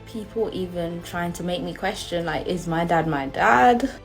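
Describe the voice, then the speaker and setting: Low-pitched woman ranting casually. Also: normal speed, faint music behind. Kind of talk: casual rant to camera.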